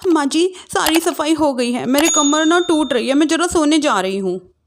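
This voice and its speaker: A woman's voice: high-pitched, animated, normal speed, background noise. A woman voicing a put-upon young daughter-in-law with a cheeky streak, plaintive and pointed, in a family story. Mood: weary, pleading.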